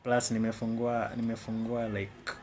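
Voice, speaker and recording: A man's voice: on the low side, level tone, normal speed, a little mellow. A man with a Kenyan accent giving casual live commentary on his trades, often repeating words and phrases. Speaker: day trader.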